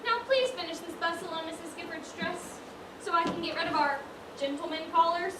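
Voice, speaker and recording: An echoey, noisy stage recording carries a woman playing a proper lady shopkeeper. She is brisk and exasperated, scolding in formal, emphatic phrases at a very high pitch. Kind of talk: amateur stage play acting. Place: large indoor performance space, far from mic. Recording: distant, echoey stage recording with loud noise.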